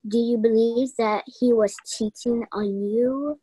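A woman playing a cool, condescending investigator in a mystery radio play, deliberate and measured. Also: on the high side, steady tone, dark tone, husky, no room sound, poor audio. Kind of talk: stage-style mystery radio play acting.

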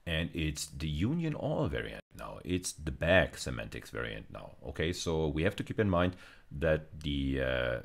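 A man, lecturing step by step in a deliberate, explanatory way with frequent check-in tags. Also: extremely low pitch. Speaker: university lecturer. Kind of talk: explanatory video lecture with live coding demo.

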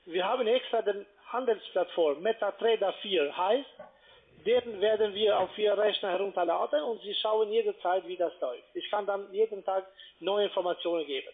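A man with a foreign accent, speaking non-native German as he gives a telephone sales pitch. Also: moderate pace. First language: not German. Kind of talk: sales pitch on a phone call. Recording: muffled narrow-band phone line.

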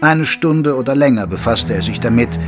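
An actor playing a loyal gentleman doctor who narrates a detective story in a measured, literary way. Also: mid-range voice, expressive, over music, lightly acted. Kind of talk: scripted audio drama narration.

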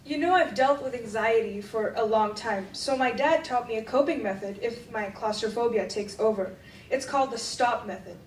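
A woman's voice: fairly high pitch, moderate pace, close to an everyday voice. A teenage girl playing an anxious, polite young hiker in a school radio drama on an echoey stage. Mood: calmer. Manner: nervous. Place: indoor theatre stage. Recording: echoey, noisy hall, distant microphone.

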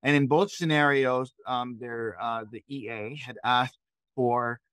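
A middle-aged man walks learners through software in a conversational tutorial style. His voice sits at a medium pitch and is recorded dry, with very little room sound. He explains step by step, posing questions as he goes. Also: fairly even intonation, a little slow, smooth, no echo. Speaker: trainer leading a live software session. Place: indoors, on a video call.

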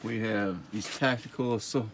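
A man's voice: fairly low pitch, steady tone, moderate pace. A middle-aged man walking through a large warehouse, casually listing stock item by item, often repeating himself, recorded on a nearby phone camera. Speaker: warehouse tour guide. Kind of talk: walkthrough listing inventory. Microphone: handheld phone camera nearby. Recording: noisy warehouse phone video.

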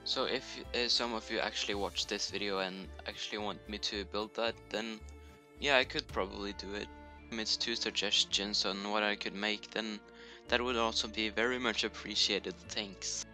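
Bright-voiced Norwegian man narrating. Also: fairly low pitch, fairly even intonation, moderate pace, faint music behind. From Norway. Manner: self-deprecating, joking asides. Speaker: YouTuber. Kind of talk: voice-over commentary on screen recording. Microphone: home computer mic, poor audio.

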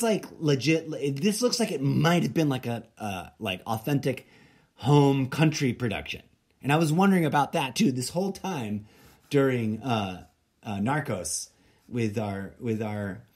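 A man who grew up speaking Spanish in Mexico, hosting a solo podcast and thinking out loud, rambling with lots of fillers and restarts. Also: medium pitch. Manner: rambling, self-interrupting, many fillers and restarts. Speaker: podcast host. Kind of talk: solo late-night musing podcast.

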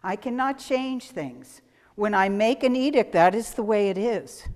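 A woman's voice: mid-range voice, lively intonation, unhurried, darkish tone, long reverb tail, lightly acted. A woman voicing a female Supreme Being in a children's story, speaking firmly and solemnly.

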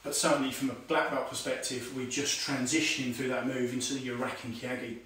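A man's voice: mid-range voice, little pitch movement, fairly quick, a bit bright, a little hiss or hum. A man in his forties teaching a karate lesson at home, explaining moves as he demonstrates them, with many "okay"s. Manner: talks while demonstrating moves, calls out commands. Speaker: karate instructor. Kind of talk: online martial arts lesson. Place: home room with tiled floor. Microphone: far camera on a stand. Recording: echoey room, voice from far off.

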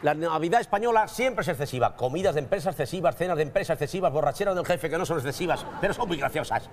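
A man's voice: a little high, animated, fast, some chatter behind, slight background noise. A man hosting a comedy news show, delivering scripted jokes in a TV studio. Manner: jokey, punchline delivery. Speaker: host.

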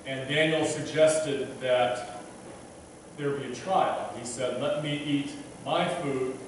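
A man's voice: medium pitch, fairly even intonation, slow pace, distant mic, a little hiss or hum. Man preaching in an echoey church. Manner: conversational, many restarts and fillers, self-deprecating. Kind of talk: casual sermon. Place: church sanctuary, at a pulpit. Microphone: pulpit microphone, filmed from a distant camera.

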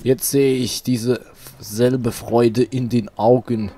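A man reading as a slow, wistful old festival-goer. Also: medium pitch, flat delivery, warm tone. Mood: nostalgic. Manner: slow, halting reading with restarts. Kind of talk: reading game dialogue aloud.

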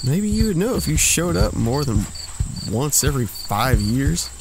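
A man's voice: medium pitch, very animated, unhurried, noisy background. An actor playing an easygoing, teasing brother and ladies' man in an audio drama. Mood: sarcastic.

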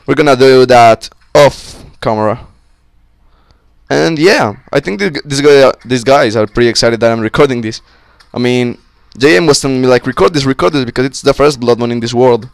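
Young male gamer, gravelly voice, a bit sick, close dry mic, rowdy casual commentary. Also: mid-range voice, normal speed, no echo. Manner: excitable, swearing, joking with friends. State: sick, voice a little off. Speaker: gameplay commentator. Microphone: close-up desk mic.

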